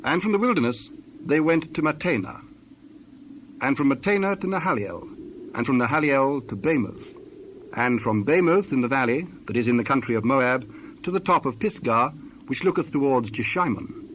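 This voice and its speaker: A man narrating dramatized scripture from a script, in measured, solemn short phrases. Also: mid-range voice, animated, fairly bright tone, slight background noise.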